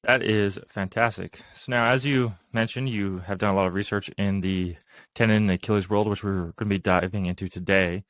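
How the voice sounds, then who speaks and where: A man's voice: fairly low pitch, steady tone, fairly quick, fairly bright tone, no room sound. A man co-hosting a rehab podcast, asking questions in an appreciative, conversational way. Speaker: podcast co-host. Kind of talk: interview questions.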